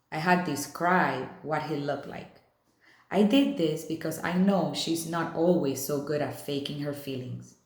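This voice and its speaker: Unhurried woman reading aloud, echoey room. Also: deep voice, darkish tone. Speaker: read-aloud reader. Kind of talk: storybook read-aloud narration.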